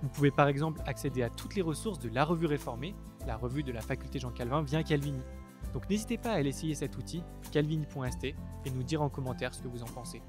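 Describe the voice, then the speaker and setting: A man's voice: mid-range voice, fast, over music. A young man, a student, hosting a theology podcast, reading a sponsor segment and introducing guests in a friendly way. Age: young adult. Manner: friendly, scripted intro, clear. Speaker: podcast host.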